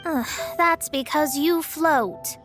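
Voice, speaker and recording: A woman's voice: sits high, slow, music in the background. A woman voicing a proud young astrologist in an anime game, speaking in a pompous, formal, self-important manner. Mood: weary. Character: proud young female astrologist, haughty, secretly broke. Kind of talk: English anime game voice acting.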